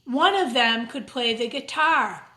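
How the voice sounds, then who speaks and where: A woman's voice: a little high, animated, measured pace, bright, forceful tone. A woman reading a picture book aloud to children, expressively, with simple asides to her young listeners. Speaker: storytime reader, teacher. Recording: roomy, echoing room sound.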